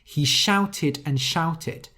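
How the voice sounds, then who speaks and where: A man's voice: on the high side, very slow, bright, forceful tone. A man teaching English to learners, explaining words and spelling them out before reading a story aloud. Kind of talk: slow teaching narration for learners.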